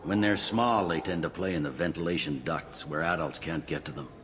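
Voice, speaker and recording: An actor playing a matter-of-fact, world-weary police officer in a video game, briefing in a dry, informal, clipped way. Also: male voice, a bass voice, brisk, fairly bright tone, slight background noise. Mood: grim, weary.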